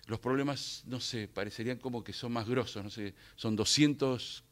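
A man's voice: mid-range voice, moderate pace. A middle-aged French-born man whose first language is French, speaking Rioplatense Spanish as an actor guest on a radio show, reflective and rambling with many fillers. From France, raised in Argentina. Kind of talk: casual radio interview.

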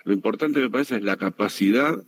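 A man's voice: on the low side, brisk. A man with a Buenos Aires area Argentine accent, a public radio worker earnestly answering interview questions with fillers and restarts. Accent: Buenos Aires area Argentine Spanish.

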